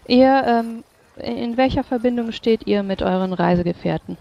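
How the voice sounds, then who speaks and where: A woman's voice: a little high, normal speed, gravelly, mellow, muffled recording. A woman playing a businesslike, politely formal official taking a record in a tabletop roleplay, with some hesitation. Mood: polite probing.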